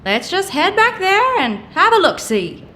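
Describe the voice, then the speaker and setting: Woman playing a brash, high-voiced wisecracking dame. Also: very animated, measured pace, pushed and bright, slight background noise, exaggerated voice. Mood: placating. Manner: sassy, blunt, folksy. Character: brash, sceptical, wisecracking small-town woman. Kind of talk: comic vintage-style audio drama acting.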